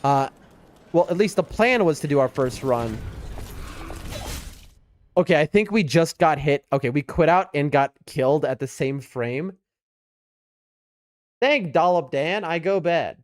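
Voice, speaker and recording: Higher-pitched New York man chatting on stream. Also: animated, a little slow, pauses often, no echo. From US East Coast. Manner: rambling, chatty, addresses viewers. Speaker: game streamer. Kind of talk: casual live game commentary and chat. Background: music.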